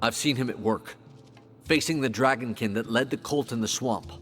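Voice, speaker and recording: Man acting earnest mid-pitched fantasy hunter. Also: moderate pace, a bit bright, faint music behind, lightly acted. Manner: earnest, insistent, formal. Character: earnest, loyal male hunter, firm defender of a comrade. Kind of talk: dramatic fantasy game acting.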